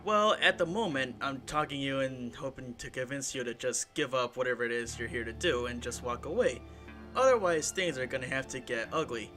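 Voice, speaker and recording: A man voicing a confident, mischievous eighteen-year-old boy, breezy and teasing, over loud background music. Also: mid-range voice, lively intonation, a little fast. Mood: cocky. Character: cocky confident teenage boy hero, mischievous.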